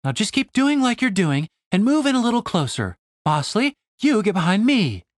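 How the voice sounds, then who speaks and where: A narrator doing a brash, sly woman, very animated. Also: mid-range voice, moderate pace, dry recording, studio quality, voice pushed up, lightly acted. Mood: encouraging. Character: bold, sly, brash young woman, mischievous schemer.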